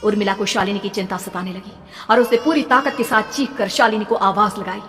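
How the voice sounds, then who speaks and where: A woman's voice: medium pitch, fairly quick, a bit bright, soft background music. A woman telling a horror story as dramatic scripted narration. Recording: long reverb, background noise.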